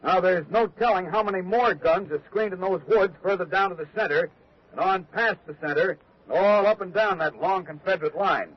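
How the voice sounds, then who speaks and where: Battlefield observer: watchful, deliberate, formal, high-set voice on dull vintage radio. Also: male voice. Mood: watchful, tense. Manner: deliberate, formal descriptive reporting. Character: watchful male radio reporter observing enemy lines. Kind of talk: 1940s radio acting. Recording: muffled old 1940s radio recording.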